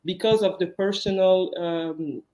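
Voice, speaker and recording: A young speaker talks in an interview, earnest and personal, sometimes pausing to find the words. The voice sits at a middle pitch, and a roomy echo hangs around it. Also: steady tone, a little mellow. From Belgium. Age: young adult. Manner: earnest, personal, slightly halting. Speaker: guest, social justice activist. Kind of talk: interview conversation.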